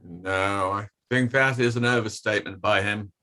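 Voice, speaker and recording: Guy playing a guarded doctor testifying at an inquiry, sharp forceful voice, stiff and halting, courtroom play. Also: on the low side, slight background noise. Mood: guarded denial. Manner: hesitant, formal, guarded. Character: defensive male doctor, a coroner giving evidence at an inquiry. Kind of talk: online table read of a courtroom play.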